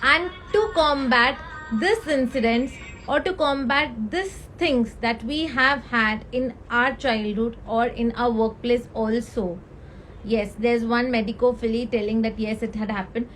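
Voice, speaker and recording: A woman with an Indian accent hosting a live talk on mental health, speaking earnestly and mixing English with Hindi. Her voice is high and youthful, the kind others call a baby voice. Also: unhurried, fairly bright tone, faint music behind, low-quality recording. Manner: switches between English and Hindi mid-sentence; earnest, asks the audience questions. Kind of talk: casual talk to a live audience.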